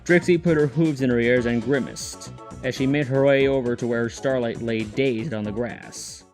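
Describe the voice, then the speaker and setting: A man serves as narrator, reading a scripted story. His voice sits at an average pitch, with faint music underneath. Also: moderate pace.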